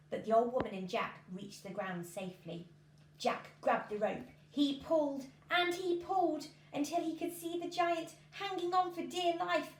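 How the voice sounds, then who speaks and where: A woman, reading a children's story expressively. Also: sits high. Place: living room at home, indoors. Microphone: camera a few feet away. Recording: echoey room with background noise.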